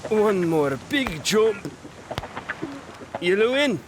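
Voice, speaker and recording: A man playing a gruff, steadfast knight in an audio drama, with old-fashioned, folksy phrasing. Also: high voice, sing-song, drawn-out words, noisy background, full character voice. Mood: steady, resolute. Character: gruff, steadfast male knight climbing a cliff.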